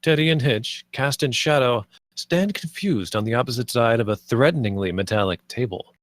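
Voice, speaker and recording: Man reading screenplay directions, medium pitch. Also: moderate pace, no room sound. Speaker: stage-direction reader. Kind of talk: scripted screenplay narration.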